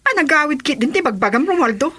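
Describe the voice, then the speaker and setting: A woman playing a brisk mother in an Ilocano radio drama. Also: sits high, very animated, pushed and bright, full character voice. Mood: impatient. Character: brisk mother of a family on vacation.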